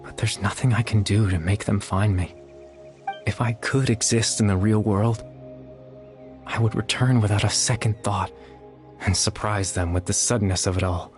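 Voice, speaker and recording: A man voicing a young man who exists only in memory, calm and apologetic, speaking in a measured, quiet, explanatory way. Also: fairly low pitch, over music, lightly acted. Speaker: game character. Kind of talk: video game voice acting.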